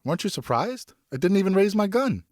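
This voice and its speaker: Audiobook role: eager French youth acted by a male narrator, raised pitch, admiring, dry close recording. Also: sing-song, fairly quick, darkish tone, dry recording. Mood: amazed. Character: eager young French son, novice hunter. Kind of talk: audiobook character dialogue.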